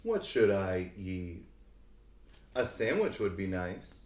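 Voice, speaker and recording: A man's voice: sits low, very animated, slow, a little mellow, far from the mic, full character voice. A man voicing a friendly, easygoing, hungry male cat from a children's storybook, in a simple, cheerful, emphatic way. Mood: pondering. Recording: roomy, echoing sound.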